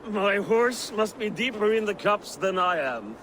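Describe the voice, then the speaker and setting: A man's voice: sits high, sing-song, a little slow, fairly bright tone, a little hiss or hum, heavily acted. A man playing a boisterous, scheming, hard-drinking Viking warrior in a dramatic video game, with a theatrical way of talking. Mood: boisterous, goading.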